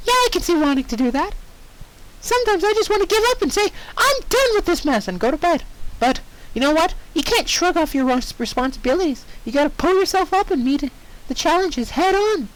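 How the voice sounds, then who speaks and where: Man putting on a high, perky, sadistic girl cartoon pony. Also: expressive, a little fast, rough recording. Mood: upbeat lecturing.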